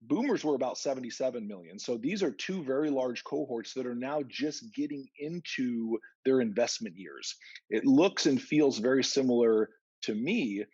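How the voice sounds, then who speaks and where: A man's voice: medium pitch, expressive, moderate pace, darkish tone, husky, no echo. A man giving methodical, conversational market commentary as an investment analyst on a conference call. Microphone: conference call line. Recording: dull, narrow conference call audio.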